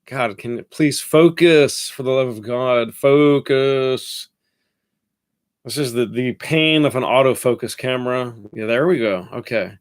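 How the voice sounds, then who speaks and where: A man's voice: mid-range voice, unhurried. A man with a nasal voice, congested from a head cold, chatting through a casual fragrance review in a rambling, self-correcting way.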